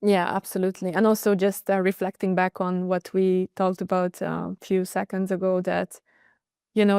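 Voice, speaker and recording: A woman answers interview questions in a lowish, smooth, resonant voice. She sounds earnest and determined, with a few hesitations. The sound is dry and close. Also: a little mellow, dry recording. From Hungary. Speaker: guest, internal audit director.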